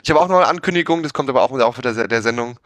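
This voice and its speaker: An upbeat man joins a casual podcast over a call. He talks fast in short, cheerful bursts. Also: mid-range voice, expressive, fairly bright tone. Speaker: podcast co-host. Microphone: remote call.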